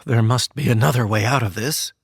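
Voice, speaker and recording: A man's voice: mid-range voice, a little fast, slightly rough, dry recording, clean studio sound. A man playing a flustered, exasperated married political aide in a comedic audio drama, speaking hesitantly with sighs. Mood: embarrassed, exasperated.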